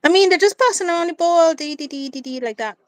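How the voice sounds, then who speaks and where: A woman's voice: very high-pitched, expressive, quick pace, no room sound, studio quality. A woman from Trinidad with a Trinidadian accent, chatting casually and playfully as co-host of a live watchalong. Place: indoors in a room at home.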